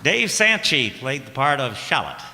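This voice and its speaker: A man announcing closing credits for a radio play, informal and halting. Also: mid-range voice, sing-song. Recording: echoey hall, loud noise, audience sounds.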